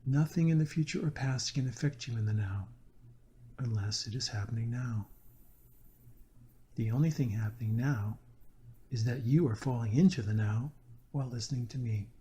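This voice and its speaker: Male meditation guide, soft-edged mellow tone, mid-range pitch, earnest and measured scripted delivery.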